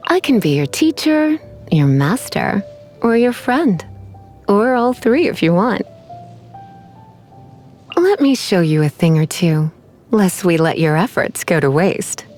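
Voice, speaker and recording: Sultry, teasing sorceress, lilting voice. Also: female voice, medium pitch, big pitch swings, darkish tone, faint music behind. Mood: sleepy, teasing. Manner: sultry, teasing, unhurried, coy. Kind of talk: video game character voice lines.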